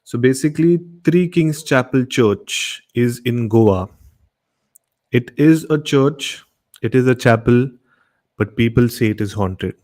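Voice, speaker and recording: An Indian-accented man presents a late-night horror programme at a slow, deliberate pace. His talk is casual and unscripted, and he circles back over phrases to stress them. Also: medium pitch, darkish tone. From Goa, India. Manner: repetitive, emphatic phrasing. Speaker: host of a late-night horror radio show. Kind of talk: casual hosting, unscripted introductions.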